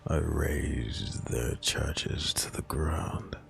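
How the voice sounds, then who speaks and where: Very deep, gravelly murderous doctor. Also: male voice, in a whisper, soft background music, exaggerated voice. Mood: bitter, menacing. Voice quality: gravelly, creaky. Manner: menacing, drawn-out words. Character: gaunt, bitter, murderous plague doctor losing his humanity. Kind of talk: dark fantasy audio drama acting.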